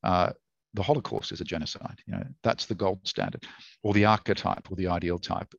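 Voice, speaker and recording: A man in his fifties with an Australian accent, answering questions as an author in an academic conversation, measured and thoughtful with frequent fillers and restarts. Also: deep voice, steady tone, creaky, husky, no room sound. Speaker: author and historian guest. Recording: dry, dull lo-fi sound.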